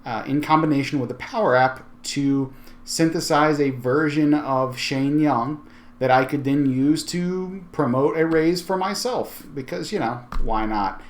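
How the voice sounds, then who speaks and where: A man giving an informal, conversational software walkthrough as a tech video host, thinking aloud as he goes. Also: fairly high pitch, measured pace. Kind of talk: casual software tutorial walkthrough.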